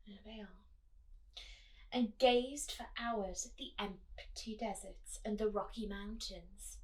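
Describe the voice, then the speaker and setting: A woman reading a picture book aloud to children, expressive and speaking directly to her young listeners with chatty asides. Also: fairly high pitch, distant mic, low-quality recording.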